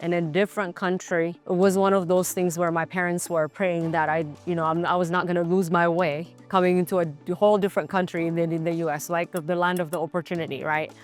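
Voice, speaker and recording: A woman's voice: fairly low pitch, little pitch movement, fairly quick, hardly any pauses, faint music behind. A woman in her thirties with an Ethiopian accent, telling her personal story conversationally as an interview guest, often checking in with "right?". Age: 30s. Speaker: insurance agency owner, interview guest.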